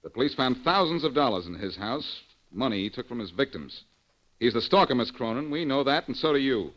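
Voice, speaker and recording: A man's voice: mid-range voice, very animated, fairly quick, fairly bright tone. A man playing a shrewd, hard-nosed private detective in 1940s radio style, clipped and wisecracking. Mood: accusing. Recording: dull lo-fi old radio recording.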